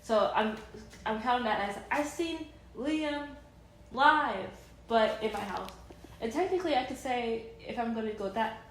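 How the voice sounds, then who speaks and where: A woman's voice: a little high, animated, a little slow, a bit bright, reverberant, a little hiss or hum, rough recording. A woman in her thirties chatting casually and enthusiastically in a vlog, rambling with many fillers and restarts. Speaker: vlogger.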